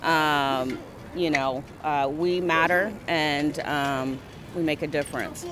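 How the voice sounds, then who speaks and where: Male interviewee, somewhat high register, measured halting delivery, unscripted. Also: steady tone, crowd noise. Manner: slow, deliberate, with frequent pauses. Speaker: march participant being interviewed. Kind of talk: spontaneous interview answer.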